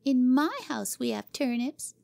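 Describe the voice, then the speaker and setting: A woman voicing a bossy, excitable little girl in a storybook reading, shrill and commanding. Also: a little slow, dry recording, heavily acted. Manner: shrill, imperious, gleeful.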